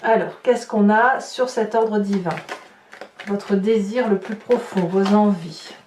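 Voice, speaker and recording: A woman's voice: mid-range voice, takes it slowly, a little mellow, slight background noise. A woman giving an unscripted tarot reading to viewers, repeating words for emphasis as she searches for the next one. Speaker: tarot reader, host of horoscope guidance videos. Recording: roomy echo, distant microphone.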